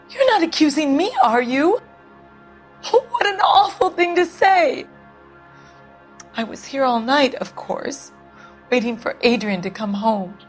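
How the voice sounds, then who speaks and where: A woman's voice: high-pitched, expressive, slow pace, pauses often, faint music behind. An actress playing an anxious, easily offended wife of a missing man in a dramatic video game, defensive and wounded.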